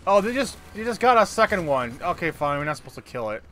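A man's voice: fairly high pitch, sing-song, quick pace, bright, forceful tone. A man chatting casually and sarcastically, with some swearing, while playing a co-op video game. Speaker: gamer playing co-op. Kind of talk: casual gaming chat. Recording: background game noise, ambience.

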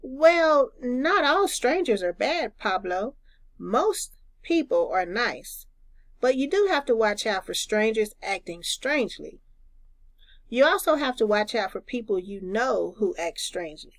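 Lady doing a big kid in a picture book, patient and instructive, lively lilt, highish. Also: unhurried, no room sound. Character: sensible older boy patiently guiding younger friend. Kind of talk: children's storybook read-aloud.

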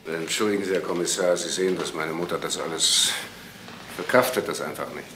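An actor playing an impatient, condescending adult son with a brusque, patronising manner in a naturalistic crime drama. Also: male voice, deep voice, fairly quick, very noisy. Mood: smoothly dismissive.

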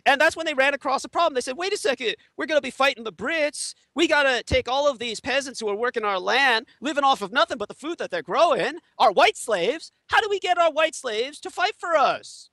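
A man from Taiwan whose first language is Chinese, an interview guest giving an emphatic, lecturing monologue that swings from loud high outbursts to low murmurs. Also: lively intonation, brisk, few pauses, no echo. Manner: emphatic lecturing monologue, voice rising and falling sharply. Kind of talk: conspiracy talk on an audio interview.

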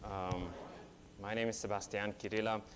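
A man's voice: fairly low pitch, normal speed. A man in his thirties from Romania, with a Romanian accent, giving a chatty, story-filled guest sermon from a church pulpit. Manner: chatty, storytelling, with frequent restarts and growing excitement. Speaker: guest preacher.

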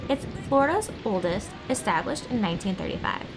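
A woman's voice: medium pitch, lively intonation, brisk, music in the background. A woman narrating a travel vlog while walking through shops and streets, describing what she sees in a cheerful way. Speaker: travel vlogger. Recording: loud street and shop ambience.

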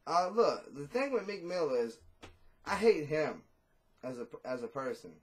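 A man's voice: on the high side, sing-song, measured pace. A man in his thirties, a rapper talking casually and bluntly in an interview, cursing freely, on a video call from a small room at home. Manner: blunt, profane, laid-back.

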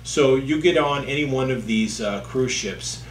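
A man in his fifties, a lawyer, explaining things to camera in a halting, conversational way in an office. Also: mid-range voice. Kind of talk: informal legal explainer to camera. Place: indoor office, seated at a distance from the camera. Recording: echoey room with faint hum.

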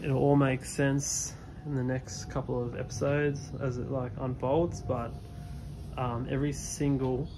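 A young man talks through a casual vlog, his pitch staying flat and level. He rambles, filling gaps with ums as he films on a phone outdoors. A faint outdoor hiss sits under his voice. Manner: rambling, many ums and fillers. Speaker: HVAC technician vlogger. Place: outdoors beside a van in a driveway.

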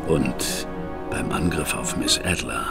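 A man's voice: rumbling low, slow pace, gravelly, loud music behind the voice, full character voice. An actor playing a shrewd, composed male detective in a German audio drama, formal, courteous and inquisitive. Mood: probing.

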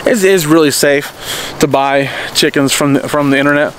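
A man's voice: fairly high pitch, moderate pace, slight background noise. A man in his thirties talking casually to a handheld camera in his backyard, rambling with restarts and often asking "okay?". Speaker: homesteading vlogger. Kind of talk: casual explainer vlog. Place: backyard outdoors. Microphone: handheld camera at arm's length.

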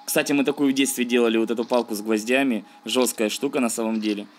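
A man's voice: medium pitch, steady tone, fast talker, soft background music. A man giving casual, slangy let's-play commentary, thinking aloud and grumbling as he plays. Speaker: gaming video host.

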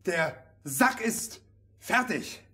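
An actor playing an excitable tailor in a fairy-tale radio play. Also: male voice, high-pitched, very slow, heavily acted. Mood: proud.

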